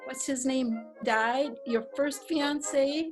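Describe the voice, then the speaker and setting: Casting: woman reading a prim, curious lady, unhurried delivery. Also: high-pitched, steady tone, faint music behind. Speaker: reader in a play reading. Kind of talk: amateur readers' theatre acting.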